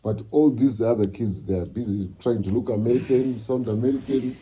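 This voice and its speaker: A man's voice: medium pitch, brisk, warm tone, breathy edge, low-quality recording. A man, a musician and producer, speaking as a guest in an interview in an emphatic, lecturing way. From Zimbabwe. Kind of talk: candid interview talk.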